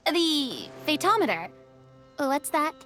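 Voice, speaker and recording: A modest, earnest young game heroine. The voice is very high and unhurried, with animated, hesitant, polite phrasing over faint music. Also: female voice, exaggerated voice. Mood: curious. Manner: hesitant, polite, soft-spoken wonder. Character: gentle, modest young female newcomer, curious and earnest. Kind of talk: video game character acting.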